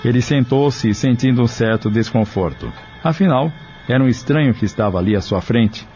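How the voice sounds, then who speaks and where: A man's voice: mid-range voice, moderate pace, a little mellow, faint music behind. A man reading scripted narration for an audio novel. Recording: dull lo-fi radio drama sound.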